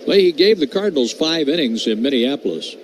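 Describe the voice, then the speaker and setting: A man's voice: on the high side, lively intonation, a little fast. Man calling baseball over noisy ballpark ambience. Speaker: play-by-play radio announcer. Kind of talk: live baseball radio commentary. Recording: clear background noise at ballpark.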